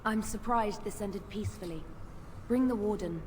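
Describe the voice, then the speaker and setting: A stern, authoritative woman officer from a fantasy game, voiced by an actress. She speaks formally at an average pitch for a woman. She sounds slightly distant, over faint ambient noise. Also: moderate pace, darkish tone, close to an everyday voice. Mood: stern, controlled. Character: stern female military officer of the Regent. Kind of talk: fantasy video game acting.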